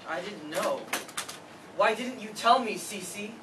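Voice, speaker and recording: A man's voice: high-pitched, expressive, takes it slowly. A man playing a grieving, regretful adult son in a staged reading of a play. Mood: shocked, defensive. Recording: distant mic, noisy room.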